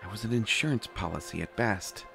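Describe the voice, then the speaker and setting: A man's voice: lowish voice, brisk, gravelly, bright, forceful tone. A man playing an anxious, stammering young prince who is reluctant to become king, in a roleplay audio drama. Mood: pleading, despondent. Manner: flustered, hesitant, stammering, sighing. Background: faint music.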